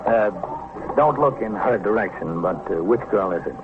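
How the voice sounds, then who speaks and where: An American man playing a stern police captain in a vintage radio crime drama, dry, measured and authoritative. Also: lowish voice, lively intonation, music in the background. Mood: terse, instructive. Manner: dry, authoritative, matter-of-fact. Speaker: police captain narrating his case. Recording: noisy, narrow-band old radio recording.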